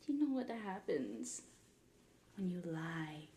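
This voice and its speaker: A woman playing a mocking, menacing pirate queen in a soft-spoken ASMR roleplay, breathy and often whispering close to the mic, drawing out and repeating her words. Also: fairly low pitch, very animated, slight background noise. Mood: stern, threatening. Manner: slow, drawn-out, repeating words, theatrical. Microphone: close ASMR microphone.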